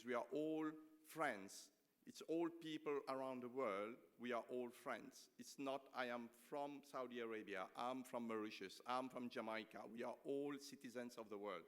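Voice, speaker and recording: A man delivers an informal closing speech in a big hall with long reverb. His pitch is average, and he speaks haltingly, repeating and rewording phrases as he goes. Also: a bit bright. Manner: halting, repeats and rephrases himself. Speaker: conference organiser giving closing remarks. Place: large conference hall.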